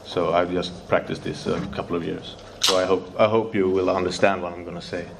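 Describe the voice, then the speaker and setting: Low-voiced Swedish man, nervous, halting non-native English, faint noise behind. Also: little pitch movement, gravelly. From Sweden. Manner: halting, searching for English words, many fillers and restarts. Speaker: speaker sharing recovery story. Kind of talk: recovery meeting share.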